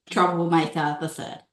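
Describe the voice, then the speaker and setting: A woman's voice: fairly low pitch, a little mellow. A woman chatting in a playful, mischievous way as a tabletop game player. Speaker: player on a streamed tabletop RPG. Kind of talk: casual chat. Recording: echoey, roomy sound.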